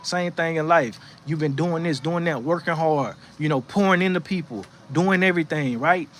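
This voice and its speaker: A man's voice: on the high side, moderate pace, fairly bright tone, a little hiss or hum. Conversational man preaching on a porch. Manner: preachy and conversational, frequent restarts and "you know what I'm saying". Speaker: host of a faith livestream. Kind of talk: casual spiritual encouragement, reading chat. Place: porch outdoors, sitting in a rocking chair.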